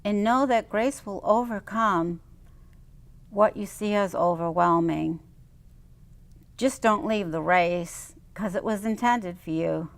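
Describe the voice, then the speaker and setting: Slow-paced woman reading personal reflections. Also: medium pitch, creaky. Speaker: poet reading own personal writing on a local TV show. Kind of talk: spoken reading of personal memoir and reflective chat.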